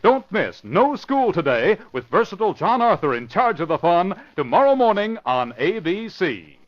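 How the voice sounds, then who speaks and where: American male promo announcer, lively swooping delivery, folksy, chummy, 1950s radio, muffled sound. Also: high voice, moderate pace. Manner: chummy, addressing children and parents. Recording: muffled old radio broadcast recording.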